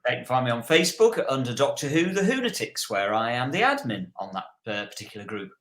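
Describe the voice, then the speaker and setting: A man talks casually as a guest on a podcast. His voice is mid-pitched, and the recording has a strong echo from the room around him. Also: sing-song, quick pace, fairly bright tone. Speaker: podcast guest, fan group admin. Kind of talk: casual conversation. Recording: echoey, reverberant room sound.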